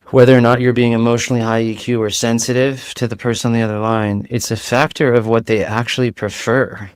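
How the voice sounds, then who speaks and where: A man with a light voice, a guest in a casual interview, talking in rapid-fire analogies with frequent restarts. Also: on the low side, clean tone, dry recording, studio quality.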